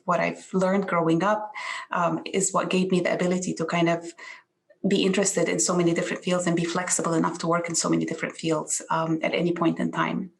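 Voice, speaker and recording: Egypt-born woman, 40s, panelist on a video call; low, creaky, monotone delivery, halting restarts. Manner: earnest, halting with many restarts. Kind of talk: online panel introduction.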